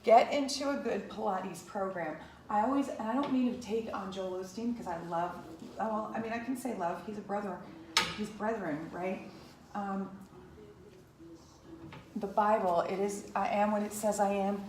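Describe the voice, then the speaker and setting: A woman's voice: mid-range voice, normal speed, darkish tone, echoey room, slight background noise, low-quality recording. A woman of about fifty, working as a Pilates instructor, talks to the camera in a chatty, rambling way, often restarting herself and going off on asides. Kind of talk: casual talking-to-camera vlog.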